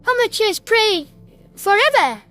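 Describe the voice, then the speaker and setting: A man voicing an excitable little alien insect boy with gleeful, childish exclamations in an audio story. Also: extremely high pitch, a little slow, faint music behind, voice pushed up, exaggerated voice. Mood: excited.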